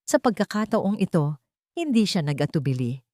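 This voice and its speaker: A woman reading a sentimental story as an audiobook narrator, in a measured, dramatic way. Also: fairly low pitch, sing-song, warm tone, no echo, studio quality.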